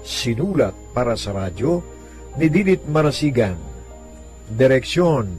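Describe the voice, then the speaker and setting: A man giving a scripted radio drama announcement in a formal, measured manner. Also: mid-range voice, sing-song, a little mellow. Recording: radio announcement over music.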